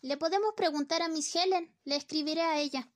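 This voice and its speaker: A woman's voice: sits high, fairly quick, close to an everyday voice. A woman acting a flippant, bossy wife who nags her husband, in an amateur radio play. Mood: chiding. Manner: flippant, nagging. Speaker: student radio drama actor.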